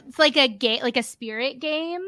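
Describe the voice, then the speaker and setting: A woman's voice: sits high, normal speed, pushed and bright, dry recording. A woman hosting a casual interview, asking playful, enthusiastic questions. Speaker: interview host.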